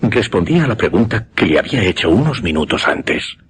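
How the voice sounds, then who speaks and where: Deep-voiced, haunted gentleman witness. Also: big pitch swings, slight background noise. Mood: haunted, solemn. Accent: Spain. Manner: hesitant, grave, courteous, dramatic pauses. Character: shaken gentleman witness recounting a supernatural horror. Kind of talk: radio horror drama acting.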